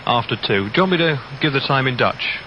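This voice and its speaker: A man's voice: mid-range voice, animated, fast talker, pushed and bright, muffled recording, slight background noise. A man hosting a music radio show, chatting casually in a cheerful, jokey, talkative way. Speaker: radio host. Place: studio aboard a radio ship at sea. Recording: offshore radio aircheck, music behind.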